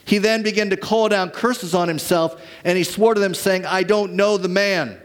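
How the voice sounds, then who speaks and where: A man preaching a sermon to his church as pastor, telling stories dramatically and swinging from lively to hushed. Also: high-pitched, moderate pace.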